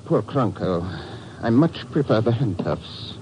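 An actor in a 1950s radio drama playing a sly, fatalistic villainous police inspector, dry, measured and formal. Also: male voice, medium pitch, sing-song. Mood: resigned, wry. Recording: dull, very noisy old radio recording.

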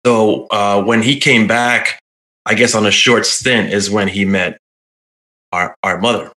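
A man's voice: on the low side, fairly even intonation, unhurried, pushed and bright. A man chatting casually as a podcast guest, with a tentative, hedging way of talking. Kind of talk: casual chat.

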